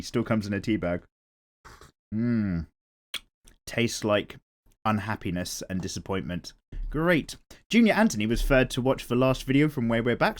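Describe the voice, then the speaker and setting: A man with an English accent and a nasal voice, casually rambling and reading viewer comments aloud while he sketches. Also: mid-range voice. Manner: chatty and rambling, swears casually. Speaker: art channel host.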